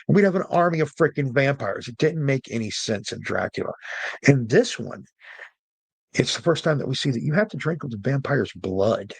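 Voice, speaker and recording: A man's voice: mid-range voice, lively intonation, normal speed, vocal fry, husky, no room sound. Middle-aged man, very dry close sound. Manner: conversational, opinionated, informal. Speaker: podcast host and storyteller. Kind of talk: casual explanatory monologue about films and folklore.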